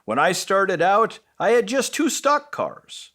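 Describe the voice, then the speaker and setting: A big, friendly train from a children's storybook, acted out by a man. He is a patient, seasoned mentor with a slow pace and lively pitch movement. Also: full character voice. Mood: patient, wise.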